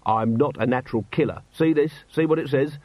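A man acts out a weary commander in an audiobook story. The voice is mid-pitched, blunt and plain-spoken, that of someone indignant and driven by conscience. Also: a little fast, dark tone, no room sound, natural voice.

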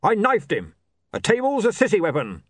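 A man voicing a brawny male barbarian who is touchy about his reputation, in a storybook reading, raising his voice loud and high. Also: wildly swooping pitch, moderate pace, breathy edge, no room sound, clean studio sound, exaggerated voice. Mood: aggrieved. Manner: loud blustering complaint.

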